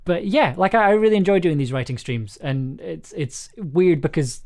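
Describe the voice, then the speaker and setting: Rambling, halting live stream host. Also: mid-range voice, a little mellow. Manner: rambling, halting, often repeats words. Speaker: stream host and audio drama writer. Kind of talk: casual live stream chat.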